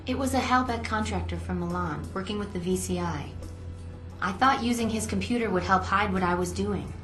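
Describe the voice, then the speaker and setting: Female game role, actress: concerned, inquisitive ally, middle-pitched, earnest delivery, roomy with music behind. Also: fairly quick, close to an everyday voice. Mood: defensive. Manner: earnest, questioning. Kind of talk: video game cutscene dialogue.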